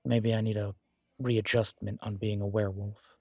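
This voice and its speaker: Man as a wry, husky-edged alpha werewolf. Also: fairly low pitch, little pitch movement, warm tone, dry recording, lightly acted. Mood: reflective. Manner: sardonic, measured. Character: wry male alpha werewolf, ex-military, loyal and self-deprecating. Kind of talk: scripted audio roleplay drama.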